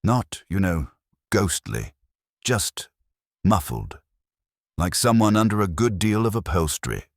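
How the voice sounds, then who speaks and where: A man's voice: deep voice, big pitch swings, unhurried, no room sound, studio quality, voice pushed up, exaggerated voice. A man voicing a stooped old gravedigger in a mystery story, speaking in a deferential murmur with rustic turns of phrase. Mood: hushed, uneasy. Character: stooped old male gravedigger, deferential, hushed, quietly poetic. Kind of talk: dramatised audiobook-style mystery storytelling.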